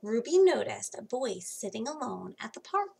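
A woman in her thirties reading a children's storybook aloud expressively, with dramatic pauses and hushed emphasis, recorded on a computer mic in a small room at home. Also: on the high side, very animated, creaky, husky. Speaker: children's book reader. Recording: faint background noise.

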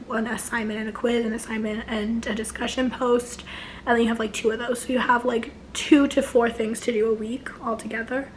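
A young woman in her twenties with a hoarse, failing voice, chatting casually to camera from her couch, rambling with many restarts. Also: fairly high pitch, a little hiss or hum, rough recording. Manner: rambling, many likes and restarts, gestures a lot. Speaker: vlogger. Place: living room couch, indoors.

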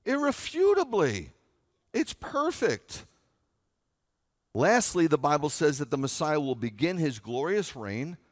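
A middle-aged American man preaching as a rabbi, conversational and wry, leaning on repetition and rhetorical questions for emphasis. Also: sits high. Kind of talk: sermon. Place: large sanctuary, at a pulpit before seated listeners. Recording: reverberant hall sound.

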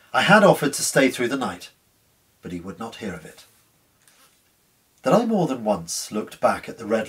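A man in his fifties reading literary fiction aloud in an earnest, expressive, measured way. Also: on the low side, breathy edge. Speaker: actor reading a short story. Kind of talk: dramatic literary narration. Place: small living room at home. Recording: slightly distant room microphone.

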